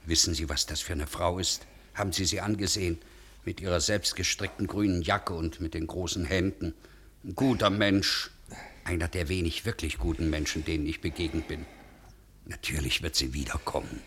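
A man's voice: low-pitched, fairly even intonation, normal speed, a bit bright, a little hiss or hum. An actor playing a rigid, conscientious pharmacist in a 1950s German radio play, officious and fussy in his insistence on rules. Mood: subdued, embarrassed. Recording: vintage 1950s radio recording.

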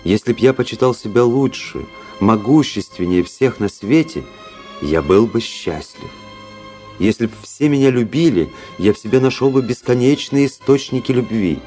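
An actor playing a proud, cynical, world-weary young aristocratic officer in a Russian radio drama, speaking in a measured, rhetorical way. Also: male voice, medium pitch, expressive, dark tone, soft background music, lightly acted. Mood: coolly reflective.

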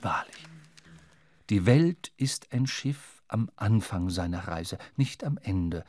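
A man playing a reflective seafaring storyteller, narrating a radio play in a measured, literary way. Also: fairly low pitch, very animated, a little mellow, exaggerated voice.

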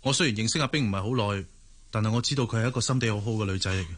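An actor playing a loyal, concerned young man in a radio drama, earnest and excitable, with a Hong Kong Cantonese accent. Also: lowish voice, very fast.

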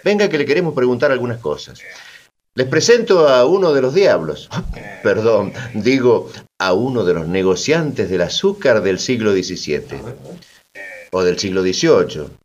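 An actor playing a sardonic storyteller and host in a satirical radio drama, colloquial and ironic with a mock-friendly manner. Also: male voice, medium pitch, lively intonation, moderate pace, lo-fi sound, close to an everyday voice. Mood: mocking, playful. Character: wry, sardonic male storyteller who interrogates historical villains. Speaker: narrator and host.

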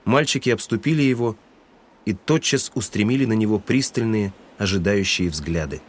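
A man's voice: lowish voice, normal speed. A man reading scripted literary narration for an audio drama.

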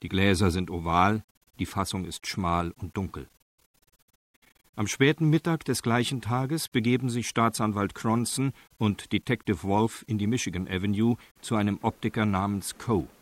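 An actor playing a sober, matter-of-fact crime narrator in a documentary-style radio play. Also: male voice, lowish voice, moderate pace, natural voice. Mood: sober, factual. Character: sober, factual male crime-story narrator.

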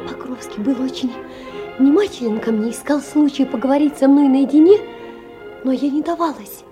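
An actress playing a tender, wistful young woman who narrates her memories in an audio drama, with dramatic pauses. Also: high voice, warm tone, soft background music, close to an everyday voice. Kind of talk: first-person dramatic narration.

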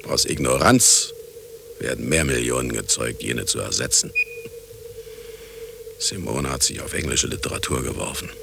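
An actor playing a cynical, self-justifying scientist and husband in a radio play, sardonic and condescending, with a gravelly voice. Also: male voice, a bass voice, normal speed, long pauses, noisy background. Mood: sardonic, brooding. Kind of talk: radio play acting, monologue and dialogue.

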